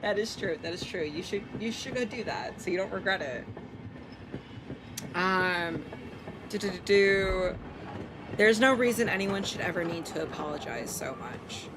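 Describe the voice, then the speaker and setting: A woman's voice: fairly low pitch, animated, measured pace. A woman with an American accent on a live game stream, reading a visual novel aloud and commenting casually, swearing and tripping over words. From Portland, United States. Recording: clearly noisy background.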